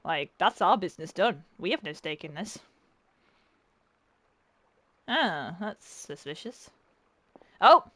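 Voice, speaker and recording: A woman's voice: fairly low pitch, expressive, a little slow, pushed and bright, no echo. A woman giving casual, sarcastic let's-play commentary, swearing freely as she reacts. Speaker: gaming commentator.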